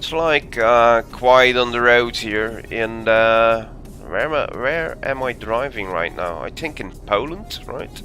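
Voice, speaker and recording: Guy, on his english, bright tone, talking slow while he drives in a game, lots of uhs. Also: mid-range voice, soft background music. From Belgium. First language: Dutch. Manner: thinking aloud, easygoing, frequent ums and uhs. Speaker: game streamer. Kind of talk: live gameplay commentary.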